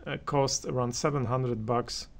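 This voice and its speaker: Slow-talking man with a creaky voice. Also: medium pitch, steady tone, a little hiss or hum. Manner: hesitant, halting delivery with many pauses. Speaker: audio reviewer and channel host. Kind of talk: unscripted opinion commentary on hi-fi gear.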